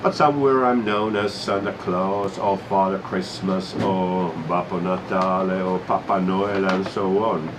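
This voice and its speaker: A man with a Finnish accent speaks English very slowly as Santa Claus, often pausing with fillers. His voice sits low. Steady noise from the room runs behind him. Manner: slow, hesitant, with many fillers. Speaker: man in a Santa Claus role greeting visitors. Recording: noisy room ambience.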